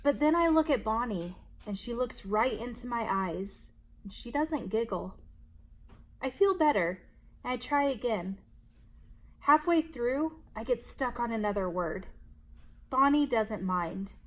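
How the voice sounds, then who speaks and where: A woman hosting a children's story time, reading aloud expressively and deliberately for young listeners. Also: on the high side, expressive, a bit bright.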